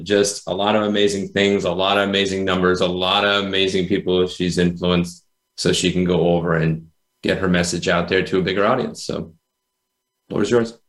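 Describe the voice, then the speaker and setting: A man's voice: deep voice, a bit bright. A man co-hosting a show, rambling informally as he introduces a guest, recorded in an echoey room. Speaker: co-host. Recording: roomy, echoing sound.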